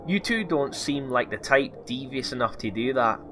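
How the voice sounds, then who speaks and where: A man playing a gruff, foul-mouthed but kindly doctor with a Scottish accent in a comedy fantasy audio drama. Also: medium pitch, expressive, moderate pace, background noise, natural voice. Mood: blunt, teasing. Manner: blunt, teasing, scolding.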